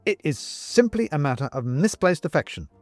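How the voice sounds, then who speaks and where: A man's voice: on the high side, big pitch swings, normal speed, no echo, faint music behind, pitched above the performer's own voice. Man as a flustered, indignant, stiffly proper fellow. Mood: defensive, flustered. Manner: hesitant bluster, clipped protests.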